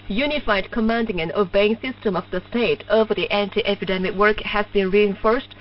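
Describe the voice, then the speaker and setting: A woman with a Korean accent reading formal scripted news over shortwave radio in a measured, stiffly official manner. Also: mid-range voice, distant mic. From North Korea. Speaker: newsreader. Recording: muffled noisy shortwave radio with hum.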